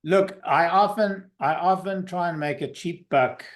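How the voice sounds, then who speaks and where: A man's voice: high-pitched, measured pace. A man giving casual market commentary as a guest, hesitant and hedging, with dry humour.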